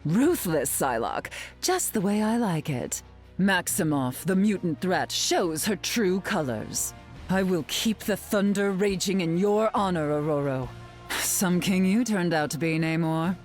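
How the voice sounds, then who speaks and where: A woman's voice: on the low side, lively intonation, unhurried, faint music behind. An actress playing a haughty, sardonic, glamorous telepathic queen in a video game, drawling with dry mockery. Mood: imperious, mocking. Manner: haughty, drawling, dryly mocking. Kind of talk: video game character voice lines.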